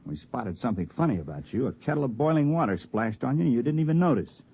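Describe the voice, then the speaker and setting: Tough camp survivor, husky, swinging widely in pitch, earnest, on dull old radio recording. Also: male voice, big pitch swings, fast, warm tone, full character voice. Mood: gruff, calm. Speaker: radio drama actor. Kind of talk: 1950s radio drama acting.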